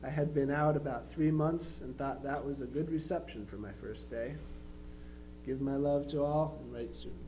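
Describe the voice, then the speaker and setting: A man's voice: medium pitch, normal speed, close to an everyday voice. A man playing a dutiful young Union soldier, reading his letters home in an understated, matter-of-fact way for a dramatization. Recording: faintly noisy live recording with hum.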